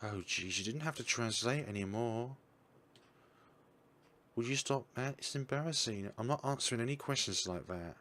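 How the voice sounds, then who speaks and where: A man voicing an AI copy of a young woman scientist, who speaks calmly and precisely with a mild teasing edge. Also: mid-range voice, moderate pace, natural voice. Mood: embarrassed.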